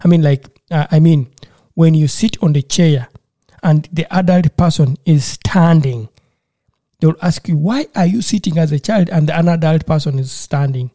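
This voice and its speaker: A man from Uganda speaks in a casual interview, earnest and a little halting, often repeating words as he restarts. His voice is very dark and mellow. The sound is dry and close. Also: fairly high pitch, dry recording.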